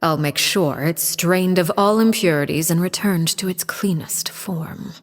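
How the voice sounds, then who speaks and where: An actress playing a poised, cold female diplomat and matriarch in a video game, speaking calmly and precisely. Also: fairly low pitch, measured pace, big-room sound. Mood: measured. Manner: calm, precise, quietly commanding.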